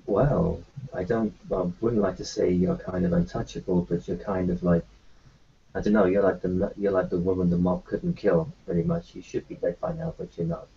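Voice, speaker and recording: Gravelly, rambling man in a lo-fi recording. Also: sits low. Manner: rambling, hesitant, many restarts and fillers. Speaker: player voicing his character in a tabletop game. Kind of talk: in-character roleplay talk.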